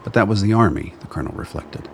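A man narrating a radio play in a measured, solemn storytelling manner. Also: extremely low pitch, animated, noisy background.

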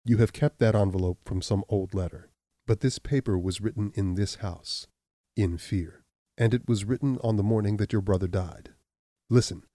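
A man voicing a stern, implacable accuser who speaks in a deep, level, measured way. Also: no room sound, lightly acted. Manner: calm, measured, unyielding. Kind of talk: audiobook reading.

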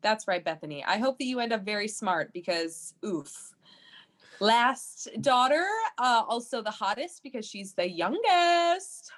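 A woman's voice: fairly high pitch, expressive, unhurried. A woman playing a brash, crude stage mother with a proud, blunt delivery in improvised comedy. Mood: blunt, approving.

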